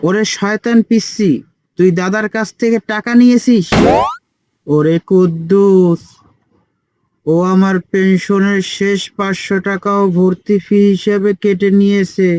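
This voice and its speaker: A man's voice: high voice, steady tone, unhurried, noisy background. A man voicing a mischievous, complaining old village grandfather in a comic cartoon. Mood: indignant.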